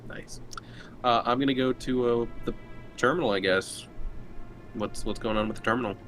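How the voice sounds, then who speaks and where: A man's voice: medium pitch, normal speed, fairly bright tone, faint music behind. A man chatting casually as a player in a tabletop roleplaying game.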